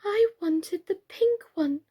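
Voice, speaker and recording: A woman voicing a gentle, simple-minded female doll in a children's storybook, with an anxious, questioning manner. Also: very high-pitched, expressive, takes it slowly, voice pushed up, heavily acted. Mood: disappointed.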